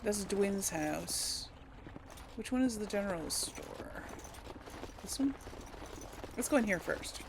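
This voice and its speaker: A woman's voice: medium pitch, expressive, slow pace, long pauses, background noise. A woman giving casual game commentary as a let's play host, chatting and thinking aloud. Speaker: let's play host, role-playing a character.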